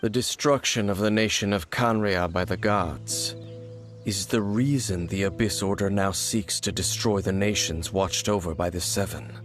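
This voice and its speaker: An actor playing an aloof, brooding warrior in a video game, speaking in a grave, measured, guarded way over music. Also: male voice, deep voice, steady tone, close to an everyday voice. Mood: grave explaining. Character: brooding, aloof male warrior with ancient grudges. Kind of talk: video game dramatic acting.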